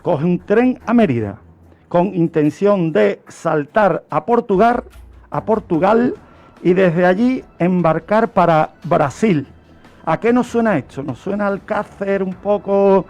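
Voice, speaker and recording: Spanish guy with a high voice explaining true crime on radio, rhetorical questions, music under him. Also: lively intonation, slow, darkish tone. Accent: Spain. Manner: didactic, punctuated with rhetorical questions.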